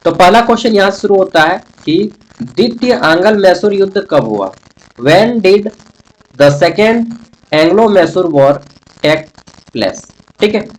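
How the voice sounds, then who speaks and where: A man's voice: sits high, lively intonation, slow, low-quality recording. A man giving an instructional exam-prep lecture, explaining point by point with frequent check-in tags. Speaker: online teacher.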